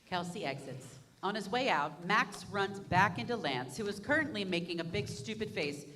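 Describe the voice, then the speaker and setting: A woman's voice: mid-range voice, lively intonation, normal speed, crowd noise. A woman reading stage directions aloud in a staged reading of a musical. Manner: plain narration.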